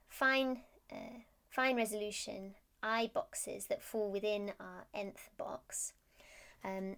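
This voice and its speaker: A woman's voice: medium pitch, gravelly, fairly bright tone. A woman presenting research as a scientist, explaining technical slides in a hesitant, stop-start way.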